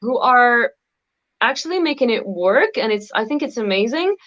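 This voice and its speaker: A woman's voice: a little high, lively intonation, moderate pace, a bit bright, muffled recording. A woman whose first languages are French and Moroccan Arabic, chatting as a guest singer in a casual live video interview, laughing often and using many fillers. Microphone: video call.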